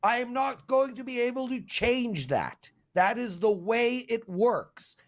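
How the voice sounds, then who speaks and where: Man, South African accent, betting show host, high-pitched voice, lively casual chat. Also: measured pace.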